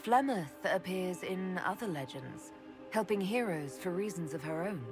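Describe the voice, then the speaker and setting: An actress playing a composed, determined young heroine in a fantasy video game, speaking in an earnest, plain way. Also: female voice, on the low side, lively intonation, moderate pace, natural voice. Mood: composed, curious. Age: young adult. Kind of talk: dramatic video game acting. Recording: orchestral game music behind the voice.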